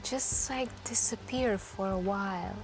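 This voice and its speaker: Woman acting as a lonely mysterious cafe girl, soft asmr voice, really slow and hesitant, music playing behind. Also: medium pitch, close to an everyday voice, rough recording. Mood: dreamy, longing. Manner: hesitant, musing, with lingering pauses and sighs. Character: mysterious, wistful, lonely woman stranded in a café at night. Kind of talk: soft-spoken intimate ASMR roleplay. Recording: background music.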